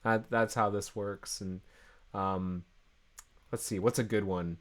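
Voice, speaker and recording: A man's voice: on the low side, fairly even intonation, takes it slowly. Stuffy-nosed guy chatting on his coding stream, easygoing, keeps interrupting himself. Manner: rambling, self-interrupting, easygoing. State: congested from a cold. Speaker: host of a coding livestream. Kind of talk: casual live-stream chat.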